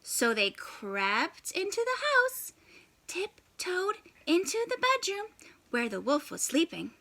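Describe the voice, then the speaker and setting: A woman reads a story for young children in a high, very bright voice. She takes her time, pausing for drama, and keeps the delivery animated and expressive. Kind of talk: animated children's read-aloud.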